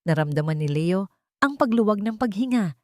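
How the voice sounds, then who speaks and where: A woman narrating a scripted romance story in a measured, dramatic storytelling manner. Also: medium pitch, animated, darkish tone, no room sound, clean studio sound.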